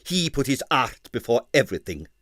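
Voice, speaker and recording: A man's voice: big pitch swings, moderate pace, a bit bright, dry recording, studio quality. A man voicing a scheming male ballet impresario who coaxes and complains in broken English. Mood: nasty. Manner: high, wheedling, peevish, broken English.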